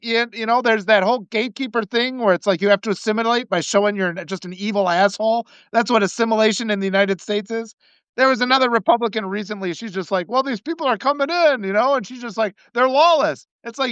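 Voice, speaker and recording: A man from Wisconsin hosting a casual political talk, animated and swearing, acting out the people he quotes. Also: very high-pitched, clean tone, no echo. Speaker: host. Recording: muffled lo-fi sound.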